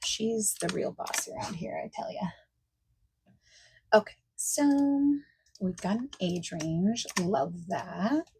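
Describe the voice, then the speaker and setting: A woman playing a friendly, accommodating party planner in a softspoken ASMR roleplay, chatting casually and reassuringly, at times nearly whispering. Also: lowish voice, gravelly, low-quality recording. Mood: friendly, chatty. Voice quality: soft-spoken, breaking into near-whispers. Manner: casual, reassuring, chatty, pausing for unheard replies. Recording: faint noise, keyboard typing and clicking.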